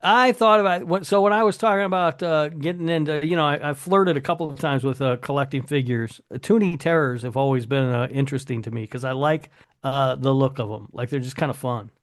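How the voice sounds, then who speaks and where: A man's voice: mid-range voice, a little fast, no echo, studio quality. A man chatting casually as a podcast co-host, speaking bluntly with some casual swearing. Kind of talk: casual chat.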